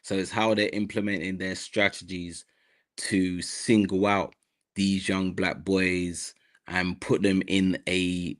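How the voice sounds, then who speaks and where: Deep-voiced London man, hesitant. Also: fairly bright tone. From South London, Nigerian heritage. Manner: hesitant, many fillers and restarts. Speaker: guest, mental health advocate. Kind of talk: podcast interview conversation.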